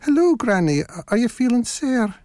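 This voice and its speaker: A woman's voice: on the high side, very animated, moderate pace, warm tone, dry recording, clean studio sound, pitched above the performer's own voice. A woman voicing a small, innocent, polite little girl with a Scottish accent, chattering artlessly in a children's story. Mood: innocent, curious.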